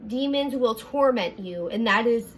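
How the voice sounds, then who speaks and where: A young woman with a raspy voice, talking earnestly in a rambling confessional vlog from her apartment, full of fillers and restarts. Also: a little high, animated, a little slow, slight background noise. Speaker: vlogger.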